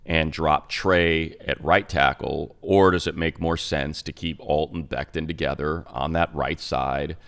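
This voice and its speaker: A man's voice: rumbling low, fairly even intonation, a little slow, few pauses, a bit bright. An American man co-hosting a sports talk show, a radio announcer breaking down team news conversationally, thinking aloud with restarts. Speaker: radio play-by-play announcer and co-host.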